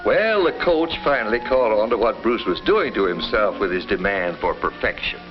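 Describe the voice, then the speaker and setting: An actor playing a kindly, folksy family doctor who explains and reassures in a 1950s educational film. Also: male voice, lowish voice, expressive, moderate pace, lightly acted. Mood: explanatory, reassuring. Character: kindly small-town family doctor recounting cases, reassuring. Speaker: narrator and doctor. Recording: dull old film soundtrack with music.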